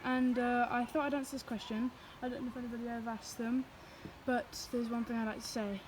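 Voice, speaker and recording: A woman's voice: fairly high pitch, flat delivery, a little fast, background noise. A young woman in casual chat, talking into her phone outdoors while biking and walking, rambling and apologetic with many fillers, sometimes out of breath. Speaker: vlogger. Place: outdoors in the countryside. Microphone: phone held or carried.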